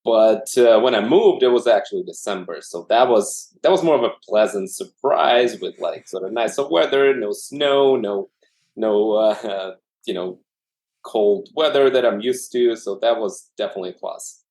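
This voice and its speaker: A man from Russia, an anesthesiologist in his thirties, telling his career story in a relaxed way with lots of fillers. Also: on the low side, normal speed. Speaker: anesthesiologist guest. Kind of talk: casual interview conversation.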